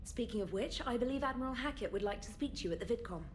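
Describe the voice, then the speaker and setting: An actress playing an efficient, eager military crew member in a video game, giving information in a friendly, orderly way. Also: female voice, on the high side, lively intonation, rapid-fire, slight background noise, lightly acted. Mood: helpful. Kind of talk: video game cutscene acting.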